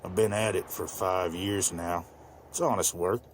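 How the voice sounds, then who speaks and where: Older male farmer played by a man, low voice, weary, measured, motion comic drama, faint background noise. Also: steady tone. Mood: calm, hospitable. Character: weary older widowed male farmer, former vet, plainspoken.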